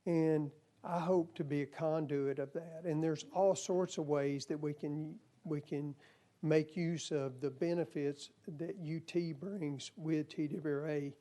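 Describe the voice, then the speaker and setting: Hoarse man with a mellow voice. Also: on the high side, fairly even intonation, measured pace. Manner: earnest, folksy, with restarts. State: losing his voice. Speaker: commission nominee. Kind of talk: hearing testimony. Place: legislative hearing room.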